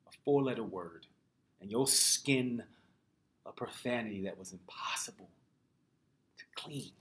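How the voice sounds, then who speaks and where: An American man reciting spoken word poetry with rhythmic, deliberate phrasing. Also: mid-range voice. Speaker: poet.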